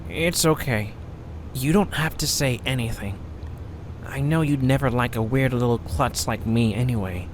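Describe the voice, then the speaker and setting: A man voicing a small, clumsy male creature with a propeller, speaking softly and earnestly in an amateur audio drama. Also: mid-range voice, normal speed, a little hiss or hum, close to an everyday voice, poor audio. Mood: wistful.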